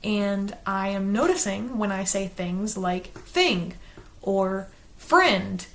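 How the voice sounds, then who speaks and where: A woman with a South Carolina Southern accent talks straight to her viewers in a casual, earnest way, mixing loose chat with lines read from a script. Her voice sits at an ordinary middle pitch. She holds back her natural quick pace to say each word clearly. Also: lively intonation, a bit bright. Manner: quick-talking, chatty and earnest, slows down to enunciate. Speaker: channel host, book reviewer. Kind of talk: casual talk to camera, partly read from a script.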